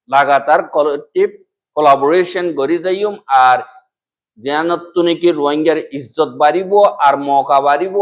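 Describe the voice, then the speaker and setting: A man presents a scripted news bulletin. He speaks with a Rohingya accent. His voice sits a little higher than is usual for a man.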